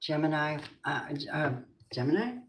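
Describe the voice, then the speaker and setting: An older woman doing a live tarot reading, chatty and rambling, warmly calling her viewers babies. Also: sits low, animated, moderate pace. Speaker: tarot reader. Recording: noisy home stream with clicks.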